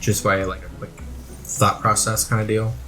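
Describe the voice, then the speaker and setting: A man's voice: lowish voice, flat delivery, normal speed. A man talking casually as a player in a tabletop game, working out his moves and rolls. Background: loud music.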